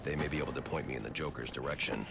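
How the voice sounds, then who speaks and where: An actor playing a grim young male vigilante, speaking in a deep, gravelly growl with terse, clipped commands. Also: racing, very noisy. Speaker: masked vigilante hero.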